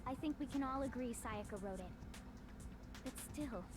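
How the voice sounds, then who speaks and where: A woman's voice: sits high, normal speed, lightly acted. A woman voicing a timid, earnest young programmer student in a fan dub of a visual novel game. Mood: earnest, hesitant. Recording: music under the voice.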